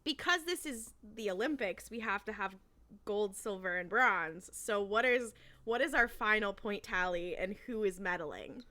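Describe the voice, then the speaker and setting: A woman in a casual podcast chat, excitable, often interjecting and quick to laugh. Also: mid-range voice.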